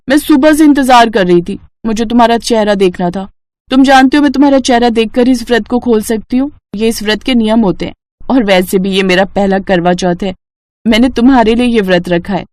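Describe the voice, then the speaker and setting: A woman's voice: a little high, darkish tone, dry recording, clean studio sound, close to an everyday voice. A woman voicing a timid, earnest young woman in love in a family story. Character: young in-love sister-in-law, shy, pleading.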